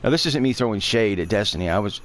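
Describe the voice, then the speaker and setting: A man's voice: fairly low pitch, expressive, fast talker, a bit bright. A man with a stuffy voice from allergies, talking through a game guide in a casual, rambling way that is full of fillers and self-corrections. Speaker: gaming guide creator. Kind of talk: casual game tutorial narration.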